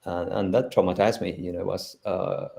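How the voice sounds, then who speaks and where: Spanish-accented man, low voice, halting. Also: steady tone, vocal fry, mellow. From Spain. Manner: earnest, halting with many restarts. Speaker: foundation founder, panel guest. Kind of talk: live stream panel talk.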